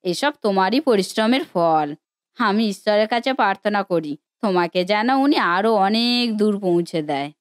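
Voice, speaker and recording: A woman's voice: mid-range voice, lively intonation, normal speed, lightly acted. A woman voicing an affectionate, earnest village wife who reassures her husband in a cartoon story. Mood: affectionate, humble. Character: supportive, encouraging village wife of a biryani cook. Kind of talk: Bengali cartoon story voice acting.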